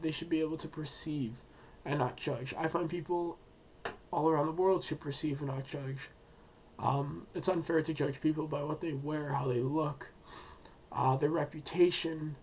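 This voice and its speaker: Hoarse, sick young man with halting speech. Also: fairly high pitch, slight background noise. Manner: hesitant, many ums and uhs, thinking aloud. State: sick and losing his voice. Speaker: student presenting a school project. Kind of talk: unscripted personal presentation.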